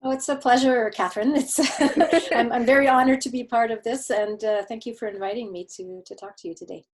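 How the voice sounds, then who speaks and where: Middle-aged woman, thoughtful and halting. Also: on the high side, steady tone. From Canada, Quebec. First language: German. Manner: thoughtful, many false starts and filler words. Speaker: guest expert. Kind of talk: conversational interview answers.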